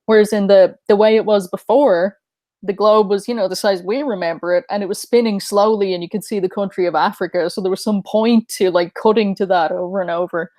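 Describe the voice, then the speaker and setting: Female podcast co-host, mid pitch, thoughtful rambling, dry close-mic sound. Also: a little mellow, dry recording. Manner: musing, speculative, with fillers. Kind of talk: casual conspiracy podcast chat.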